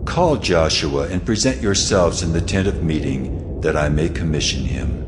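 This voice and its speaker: An actor playing God in a scripture audio drama, grave, commanding and measured. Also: male voice, extremely low pitch, background noise. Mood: solemn, commanding. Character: God, a solemn commanding divine voice.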